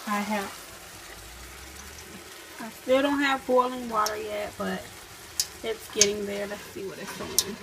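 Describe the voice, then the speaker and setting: A woman's voice: mid-range voice, steady tone, unhurried, pauses often, background noise. A woman in her home kitchen, walking through a recipe step by step, thinking aloud and correcting herself as she cooks. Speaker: cooking vlogger. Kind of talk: casual recipe walkthrough. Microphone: camera on the counter.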